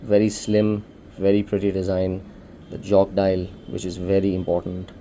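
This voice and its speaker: A man's voice: low-pitched, monotone, moderate pace, dark tone, a little hiss or hum. A man with an Indian accent casually presenting an unboxing for a shop, explaining things informally step by step. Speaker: shop presenter demonstrating a product.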